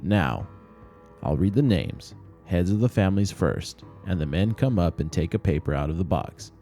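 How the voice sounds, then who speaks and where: A man voicing a brisk, folksy village official who calls out a roll in a storybook reading. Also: low voice, lively intonation, mellow, faint music behind. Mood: calling out. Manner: folksy, businesslike, calling out.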